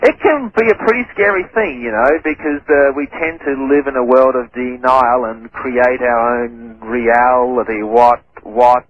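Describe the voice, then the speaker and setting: A man's voice: medium pitch, a little slow, few pauses, husky, highs cut off. A man who stutters, a guest on a phone-in radio interview, explaining at length and often repeating words and restarting. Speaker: guest researcher. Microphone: telephone line.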